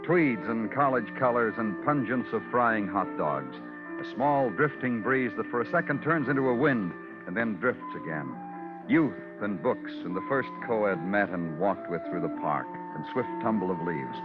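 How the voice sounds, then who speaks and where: A man's voice: fairly low pitch, lively intonation, normal speed, music in the background, lightly acted. A man playing a moody, hard-boiled police detective and narrator in an old radio crime drama. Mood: brooding, lyrical. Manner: terse questioning, lyrical narration. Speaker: lead and narrator. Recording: dull vintage radio recording.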